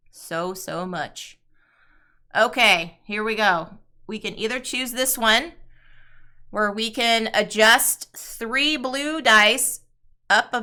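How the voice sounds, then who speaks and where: A woman chatting with her viewers while explaining a solo card game on a live stream, her voice slightly raspy before her morning tea. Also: fairly high pitch, sharp tone. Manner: chatty, talks to chat viewers, sings playfully. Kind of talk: casual live-stream commentary.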